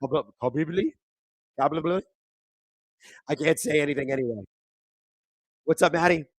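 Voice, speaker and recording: A man with a worn, thin, raspy voice that keeps cracking and squeaking as he hosts a live hockey watchalong. He sits somewhat high in pitch and talks casually to his viewers, reading their comments and reacting loudly to big plays. The sound is close and dry from his streaming mic, with no room around it. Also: moderate pace, no echo. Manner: casual, reads viewer chat aloud; excitable play-by-play on big moments. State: hoarse, voice squeaking. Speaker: watchalong host. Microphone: streaming microphone.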